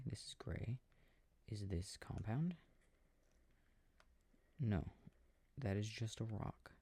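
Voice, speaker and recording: A man playing an affectionate, easily startled boyfriend in an intimate sleep-aid roleplay, rambling softly to himself about his game and often drifting into whispers. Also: lowish voice, vocal fry, slightly rough, no room sound, exaggerated voice.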